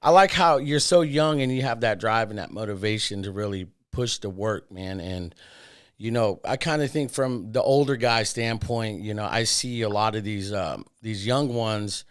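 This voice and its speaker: A man's voice: on the low side, moderate pace, smooth. Laid-back man in his forties. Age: 40s. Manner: loose, filler-heavy, says "man" and "dude". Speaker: podcast host. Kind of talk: casual podcast chat.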